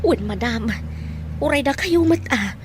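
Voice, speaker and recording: A woman's voice: high-pitched, expressive, a little slow, noisy background. A woman with a Filipino accent playing a nervous, loyal housemaid in a radio drama. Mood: relieved, anxious. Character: frightened, anxious housemaid loyal to her madam.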